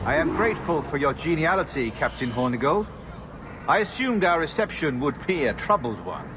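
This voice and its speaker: An actor playing a courteous, authoritative colonial governor, speaking formally and politely in a game. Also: male voice, on the high side, big pitch swings, normal speed, background noise. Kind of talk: video game cutscene acting.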